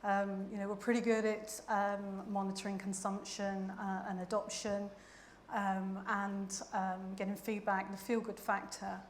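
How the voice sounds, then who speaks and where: A woman's voice: medium pitch, flat delivery, unhurried, reverberant, slight background noise. A woman speaking as a manager on a conference panel, hesitant with many fillers. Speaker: global vendor manager. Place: conference hall.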